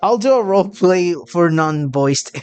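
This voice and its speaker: Male playthrough commentator: casual, giggly, hesitant, unhurried, with a widely moving mid-pitched voice. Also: expressive, a little mellow, clean tone, soft background music. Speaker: gaming channel host.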